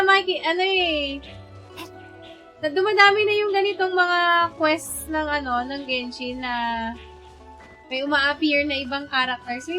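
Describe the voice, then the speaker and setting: A Filipino-accented woman livestreaming gameplay in a high voice. She chats casually and reacts as she goes, with faint music in the background. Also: sharp tone. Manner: reactive, reads game text aloud. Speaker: game livestreamer. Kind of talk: live gameplay commentary, casual chat.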